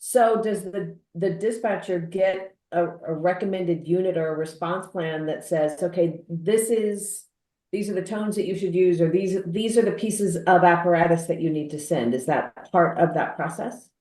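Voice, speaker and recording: A woman talks in a casual panel discussion. Her voice sits a little low, and the recording is roomy with clear echo. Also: fairly even intonation, normal speed, darkish tone. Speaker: podcast panelist. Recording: roomy, echoing sound.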